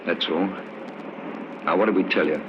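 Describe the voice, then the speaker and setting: An actor playing a cool, terse robber in a vintage radio crime drama on a noisy old recording. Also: male voice, sits low, normal speed, highs cut off, close to an everyday voice. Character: cool, low-voiced male robber, terse.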